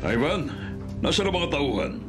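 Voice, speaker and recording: Domineering, impatient crime boss. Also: male voice, a little high, very animated, moderate pace. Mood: angry, demanding. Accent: Filipino. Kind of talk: Filipino radio drama acting. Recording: radio drama with loud music underneath. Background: dramatic music.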